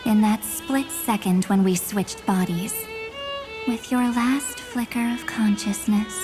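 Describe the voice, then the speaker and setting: An actress playing a cool, condescending woman antagonist in a video game, speaking in a measured, teasing way. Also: mid-range voice, echoey room, natural voice. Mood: amused, probing. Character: cool, taunting woman antagonist with quiet confidence. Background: music.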